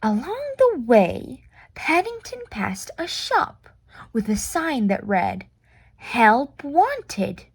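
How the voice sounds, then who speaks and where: A woman reading a children's picture book aloud in an expressive, deliberate way with playful reactions. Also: fairly high pitch, husky, no room sound.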